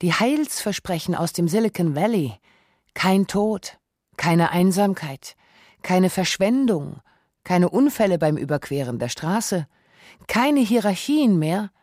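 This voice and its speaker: Mellow, close-up documentary narrator. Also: medium pitch, sing-song, dry recording. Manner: measured, essayistic, rhetorical questions.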